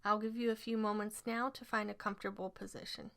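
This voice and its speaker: A woman leading a scripted guided relaxation exercise as an instructor, speaking in measured, instructional phrases. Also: medium pitch, level tone, vocal fry, fairly bright tone.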